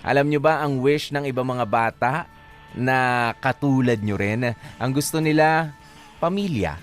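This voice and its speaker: A man's voice: mid-range voice, measured pace, faint music behind. A man with a Filipino accent hosting a radio show, talking casually to listeners and mixing in English phrases. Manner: conversational, mixes in English phrases. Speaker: radio host.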